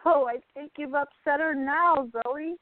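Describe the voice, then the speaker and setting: A woman playing an affectionate, sensible young art student in a radio drama, gently chiding as she talks. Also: sits high, a little slow, dry recording. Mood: wry. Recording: dry, thin phone-like band.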